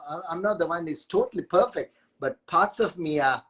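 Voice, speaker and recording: A man hosting a casual live video chat, speaking haltingly with many hesitations and joking as he goes. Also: a little high, a bit bright. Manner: halting, many "uh" fillers, jokey. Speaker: host.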